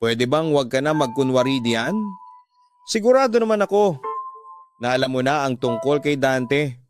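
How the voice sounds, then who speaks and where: A man's voice: mid-range voice, sing-song, moderate pace, music in the background, close to an everyday voice. Man voicing a haughty jealous wife, curt and commanding. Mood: stern, accusing. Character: haughty, jealous estranged wife confronting a teacher. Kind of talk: Filipino radio drama acting.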